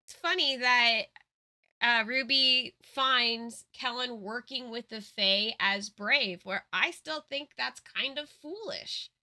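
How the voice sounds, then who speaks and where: A woman's voice: a little high, slow, sharp tone. A woman co-host chatting casually, giving commentary and retelling parts of a story.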